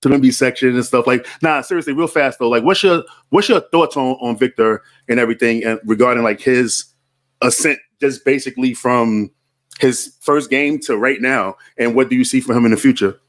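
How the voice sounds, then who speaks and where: A man's voice: medium pitch, a little fast. A man in his forties hosting a casual podcast, talking in a laid-back way with lots of "like" and "you know". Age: 40s. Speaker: podcast host.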